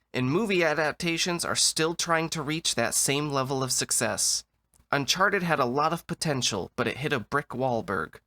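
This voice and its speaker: A man narrates a scripted comedic video essay with dry, sarcastic deadpan. His voice sits a little high and is bright and crisp-edged, with very lively rises and falls over music.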